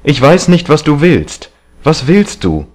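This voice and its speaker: A man's voice: fairly low pitch, very animated, measured pace, darkish tone. A man voicing a callous young dandy in an audiobook novel, haughty, emphatic and defiant. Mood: cold, irritated.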